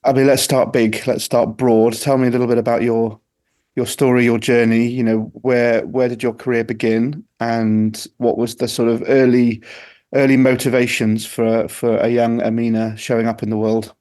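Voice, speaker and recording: A man's voice: mid-range voice, little pitch movement, normal speed, a little mellow. Enthusiastic man hosting a podcast. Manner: enthusiastic, rambling questions. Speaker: podcast host. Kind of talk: podcast interview.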